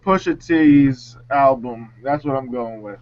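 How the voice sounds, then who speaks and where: A man's voice: medium pitch, measured pace. A man chatting casually on a music talk show panel, hesitant and self-mocking. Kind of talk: casual chat. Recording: dull lo-fi sound.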